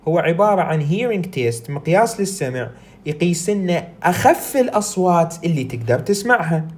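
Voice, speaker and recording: A woman with an Iraqi Arabic accent gives a recorded lecture in a fairly low voice. Her intonation rises and falls a lot. She reads English passages slowly, then explains them in Arabic, asking herself questions and answering them. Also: lively intonation, darkish tone.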